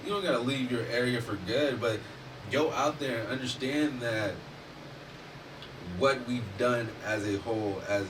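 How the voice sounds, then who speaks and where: A man talks in a casual, animated way, pressing his points with emphasis and the odd curse. He sits some way from the microphone, and steady background noise runs under his voice. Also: mid-range voice, a little slow. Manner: animated, emphatic, swears freely. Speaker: podcast host. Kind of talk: casual discussion. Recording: distant mic with noticeable background noise.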